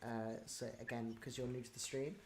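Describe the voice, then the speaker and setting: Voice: medium pitch, normal speed, slight background noise. Stream host reading questions, laughs a lot, chatty. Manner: laughing, lively retelling. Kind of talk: casual chat.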